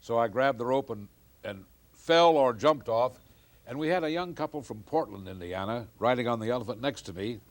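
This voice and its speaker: A man with a medium-pitched voice tells old radio anecdotes in a casual panel chat. He moves along at a rapid clip, as a veteran among colleagues. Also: lively intonation. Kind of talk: casual group reminiscing, storytelling.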